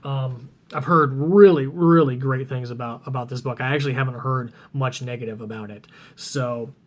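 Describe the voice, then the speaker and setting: Casting: male book vlogger, scratchy tired voice, rambling, mid pitch. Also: steady tone, normal speed. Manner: rambling, many ums and restarts. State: voice getting rough and scratchy. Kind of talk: casual chat about reading plans.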